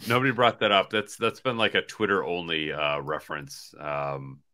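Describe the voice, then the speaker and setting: A man's voice: deep voice, fairly even intonation, normal speed, pushed and bright. A man in his forties co-hosting a sports podcast, chatting casually and in a rambling way over a video call. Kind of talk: casual postgame sports chat. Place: small hotel room, indoors. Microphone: video call on laptop.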